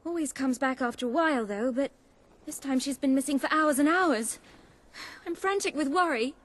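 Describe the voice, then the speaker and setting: High-pitched, emotional village mother played by a woman. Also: normal speed, lightly acted. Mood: frantic pleading. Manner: pleading, fretful, emotional. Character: anxious, doting village mother in a fantasy game.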